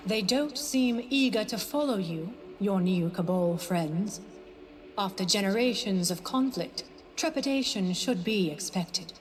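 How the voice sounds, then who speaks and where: A woman playing a wise, solemn female mentor in a game, speaking in a measured, prophetic way. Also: medium pitch, lively intonation, natural voice. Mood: solemn, reassuring. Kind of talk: scripted game narration to the hero.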